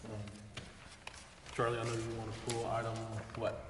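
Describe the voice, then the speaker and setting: American male, official presiding over civic business, monotone delivery, roomy recording with clear noise. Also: medium pitch, normal speed. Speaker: presiding mayor at a council meeting. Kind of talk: formal meeting procedure. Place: council chamber. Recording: noisy echoey hall, far from mic.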